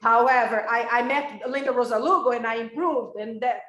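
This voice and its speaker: A woman's voice: a little high, a little fast, fairly bright tone, roomy sound. A Brazilian woman whose first language is Portuguese, giving a university lecture in a reflective way with many filler sounds and restarts. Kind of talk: recorded academic lecture.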